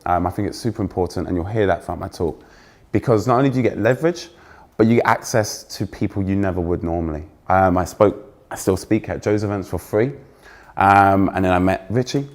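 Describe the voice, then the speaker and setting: Young English male keynote speaker, fairly posh accent, loud, energetic, teases the crowd. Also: low voice, a little fast. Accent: trained-out Essex, now fairly posh English. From England. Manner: energetic, joking with the audience, self-deprecating. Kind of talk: conference keynote talk. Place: stage before a live audience.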